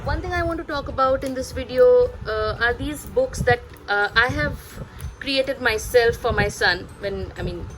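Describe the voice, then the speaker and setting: A woman in her thirties, a native Bengali speaker, chatting hesitantly as a parenting vlogger, pausing and repeating words. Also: on the high side, a bit bright, soft background music. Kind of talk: casual chatty vlog recommending books.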